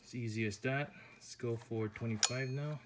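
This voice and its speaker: A man's voice: mid-range voice, normal speed. Man testing gear, offhand, noisy. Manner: offhand, repeats short stock phrases. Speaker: product reviewer. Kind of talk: casual unboxing commentary. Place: room with foam floor mats, indoors.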